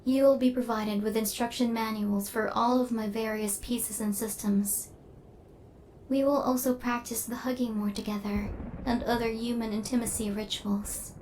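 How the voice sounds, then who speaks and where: A woman plays a female robot hunter in an intimate ASMR roleplay. Her voice sits fairly high and stays very level, with stiff, formal wording. She is coldly logical, yet possessive and tender toward her human target. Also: normal speed, a little hiss or hum, close to an everyday voice, poor audio. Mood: matter-of-fact, caring. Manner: formal, clipped machine-like phrasing, deadpan.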